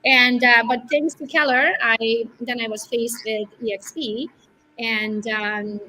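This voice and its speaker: A woman's voice: fairly high pitch, steady tone, slow pace, pushed and bright, slight background noise, poor audio. A middle-aged woman from Romania with a Hungarian-Romanian accent, a guest on a video call interview, talking with confidence and often ending her points with "right?". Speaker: guest real estate broker. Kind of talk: casual interview talk.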